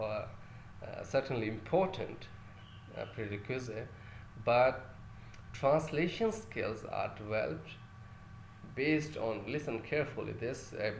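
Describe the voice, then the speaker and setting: A man's voice: medium pitch, vocal fry, roomy sound, a little hiss or hum, rough recording. A male lecturer whose first language is Urdu, teaching a class with many fillers and restarts and putting questions to his listeners.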